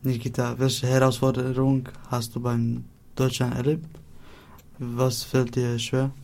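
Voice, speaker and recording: A man asking plain, measured interview questions. His delivery is flat, and his voice has a gravelly edge. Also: mid-range voice. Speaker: interviewer, fellow learner.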